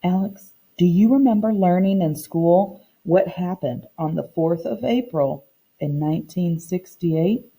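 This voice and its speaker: A woman reading aloud the part of a proud, earnest older woman who recounts her community's history in a storybook, in measured phrases. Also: on the low side, dark tone, low-quality recording. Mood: solemn, sad.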